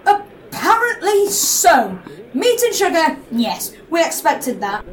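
Woman as an outspoken picket, high and animated. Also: takes it slowly, exaggerated voice. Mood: indignant. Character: outspoken, indignant railway striker on 1919 picket line. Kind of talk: scripted audio drama dialogue.

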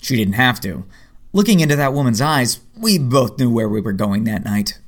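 A man playing a wry, lovestruck 38-year-old vigilante hero who narrates his story in a superhero audio drama, with a husky, self-deprecating delivery. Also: mid-range voice, sing-song, normal speed. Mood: playful, dry.

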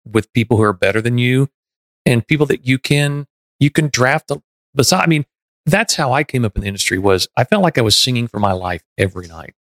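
A man's voice: medium pitch, animated, brisk, fairly bright tone, no room sound. A middle-aged man from Texas, hosting a podcast in casual, earnest, reflective conversation. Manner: earnest, self-reflective, frequent restarts. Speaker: podcast host.

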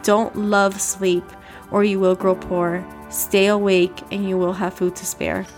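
A woman's voice: medium pitch, flat delivery, a little slow. An American woman with a stuffy, slightly nasal voice hosts a devotional podcast, speaking conversationally. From Miami, Florida, USA. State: stuffy, congested nose. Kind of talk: conversational devotional talk and prayer. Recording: music bed behind the voice. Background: background music.

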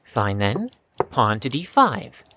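Actor playing a guarded young chess prodigy, lively pitch. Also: slow pace, background noise. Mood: cautious, dry. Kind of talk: scripted audio drama acting.

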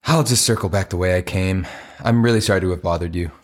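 A man's voice: low-pitched, fast talker, natural voice. An actor playing an earnest, apologetic romantic man in an audio play, with a hesitant, conversational delivery. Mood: frustrated but polite.

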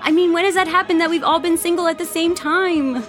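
A woman playing an excitable, bold young roommate who spins gossip dramatically in a horror audio drama. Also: very high voice, fairly quick, soft background music. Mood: thrilled, scheming. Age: young adult. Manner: animated, teasing, dramatic storytelling.

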